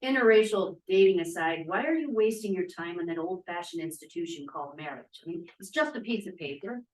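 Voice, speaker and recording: A woman's voice: on the low side, lively intonation, brisk, lightly acted. A woman reading the part of a blunt, cynical young activist in a period drama, with a challenging manner. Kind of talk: table read of a period drama. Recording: echoey, distant room sound.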